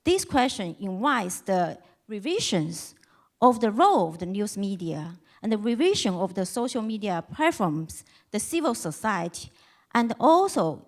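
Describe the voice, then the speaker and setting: A woman on a conference panel delivers prepared academic remarks. Her pace is measured and formal, and her pitch rises and falls expressively.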